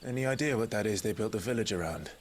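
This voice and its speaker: A man's voice: on the low side, lively intonation, fast, slight background noise, lightly acted. An actor playing a grim, earnest young swordsman who speaks tersely.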